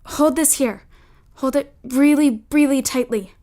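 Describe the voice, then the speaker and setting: A high, girlish voice as a bossy big sister in a period audio drama. She coaxes and commands with theatrical flair, always scheming. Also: a little slow, mellow. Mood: bossy, conspiratorial. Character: bossy, scheming older sister, a girl in an old-fashioned household.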